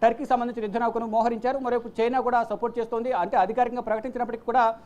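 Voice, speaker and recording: A woman presenting unscripted news commentary, repeating phrases and pausing on fillers as she goes. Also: a little high, steady tone, a little mellow, muffled recording.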